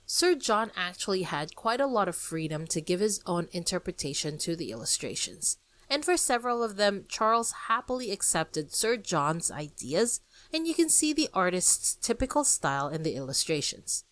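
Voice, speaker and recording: A woman hosting a podcast, reading a scripted narration on art history in a lively, explanatory way. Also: on the low side, moderate pace, no room sound. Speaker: podcast host.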